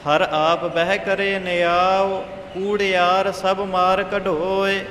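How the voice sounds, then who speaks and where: A man reciting Sikh scripture in a measured, melodic chant, line by line. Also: high voice, little pitch movement, a bit bright, a little hiss or hum. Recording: echoey hall with long reverb.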